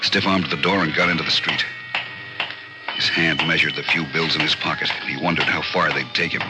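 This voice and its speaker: Casting: noir storyteller, vintage radio; extremely low voice, terse, grim, loud music underneath. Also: male voice, fairly even intonation, fairly quick. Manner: terse, dramatic. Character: hard-boiled male crime story narrator, grimly cool. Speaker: narrator. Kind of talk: scripted noir narration, 1940s radio.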